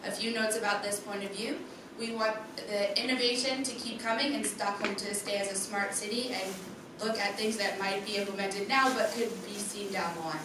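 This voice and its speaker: A woman's voice: medium pitch, fairly even intonation, moderate pace. A young woman giving a rehearsed student project presentation straight to a live audience. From USA. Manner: clear rehearsed delivery, speaks straight to the audience. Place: darkened presentation room with an audience. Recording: echoey room from far off, background noise.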